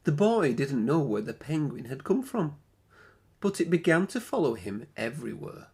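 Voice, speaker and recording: A man reading a children's picture book aloud as an expressive storyteller, with dramatic phrasing and drawn-out words. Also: fairly high pitch, breathy edge.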